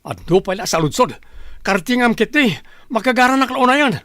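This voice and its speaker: A man playing an anxious, bossy expectant father and master of the house in a radio drama, brisk and urgent. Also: extremely high pitch, expressive, a bit bright, dry recording, clean studio sound. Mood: impatient.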